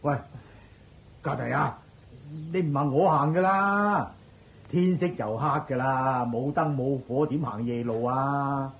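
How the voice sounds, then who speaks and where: An actor playing a squeamish, grumbling uncle in a radio drama, exclaiming and nagging in Hong Kong Cantonese. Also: male voice, mid-range voice, takes it slowly. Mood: impatient. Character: squeamish, grumbling uncle-like attendant, adult man. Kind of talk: Buddhist story radio drama acting.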